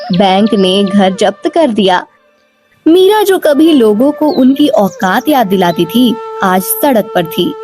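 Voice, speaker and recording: A woman reading scripted narration for a moral story as its storyteller. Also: on the high side. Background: background music.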